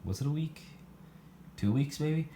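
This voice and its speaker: A man's voice: on the high side, animated, unhurried. A man casually showing off his weather radio collection, rambling and thinking aloud as he goes. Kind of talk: casual product demo vlog. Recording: noisy recording with clicks.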